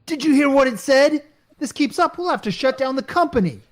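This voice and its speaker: Wisecracking male office worker for radio comedy, played by a woman: colloquial, urgent, very animated intonation. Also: high-pitched, fairly quick. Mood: alarmed, urgent. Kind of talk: old-time radio comedy acting.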